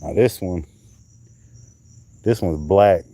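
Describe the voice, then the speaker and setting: Low, mellow-voiced man rambling casually. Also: slow, dry recording. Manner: rambling, chatty, drifts off mid-sentence; handling and showing coral pieces. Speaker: live stream host. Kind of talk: casual live stream chat.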